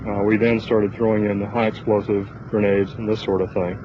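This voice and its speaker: A man giving a halting, matter-of-fact eyewitness account outdoors, like a military man reporting. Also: lowish voice, little pitch movement. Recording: dull, noisy outdoor newsreel recording.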